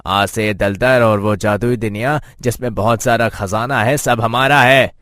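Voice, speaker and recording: A man's voice: lowish voice, steady tone, unhurried, fairly bright tone, no echo, clean studio sound, pitched below the performer's own voice. A man as a bossy, threatening bandit. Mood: menacing. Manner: bossy, curt. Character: gruff, greedy, threatening bandit. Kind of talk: cartoon moral story voice acting.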